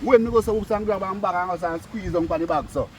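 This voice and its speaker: A Jamaican man telling stories and bantering casually with friends, in an animated, argumentative way, repeating phrases for emphasis. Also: sits high, quick pace. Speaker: storyteller among friends. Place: outdoors. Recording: noisy outdoor recording with street sounds.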